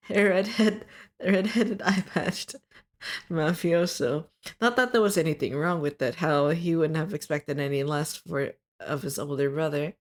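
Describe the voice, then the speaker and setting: A woman streamer reading her own fiction aloud, stopping often to fix her wording. Her voice is going hoarse. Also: low-pitched. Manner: stops often to correct her wording, laughs at slips. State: losing her voice.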